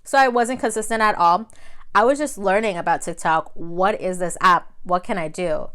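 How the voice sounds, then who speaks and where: Smooth-voiced chatty woman, native Spanish speaker. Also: on the low side, moderate pace, fairly bright tone. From Mexico. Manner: conversational, repeats phrases for emphasis. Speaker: video creator. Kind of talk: casual chatty advice vlog.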